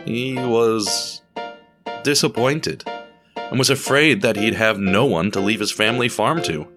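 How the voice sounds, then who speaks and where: A man reading a scripted story as narrator, in a measured, dramatic way with deliberate pauses. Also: fairly low pitch. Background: music.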